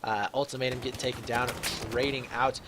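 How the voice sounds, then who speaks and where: A young man doing solo play-by-play commentary on an online shooter match, his voice slightly raspy from a long day, filling pauses with "uh" and getting excited on big plays. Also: medium pitch, background noise. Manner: chatty, fills with "uh", grows excited on big plays. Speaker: shoutcaster.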